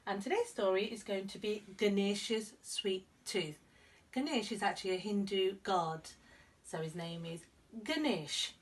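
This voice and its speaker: A woman narrating a children's story expressively as a storyteller, with clear emphasis for young listeners. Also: medium pitch, measured pace, far from the mic. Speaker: storyteller, school reader.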